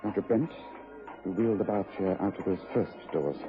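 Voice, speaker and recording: A man with an English accent playing a shrewd, commanding, dryly calm gentleman detective in vintage radio drama. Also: deep voice, moderate pace, over music, natural voice. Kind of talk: 1950s-60s BBC-style radio drama acting. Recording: phone-like old radio recording.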